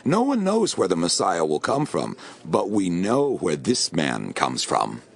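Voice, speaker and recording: A man's voice: low voice, huge pitch swings, a little slow, creaky, slightly rough, full character voice. A man playing a puzzled, skeptical Jerusalem townsman in an audio Bible drama.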